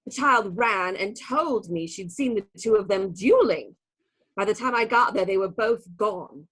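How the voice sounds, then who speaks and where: An actress playing an earnest nun, a church sister, in a radio play. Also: female voice, mid-range voice, lively intonation, unhurried, a bit bright, husky, low-quality recording. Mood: earnest, alarmed.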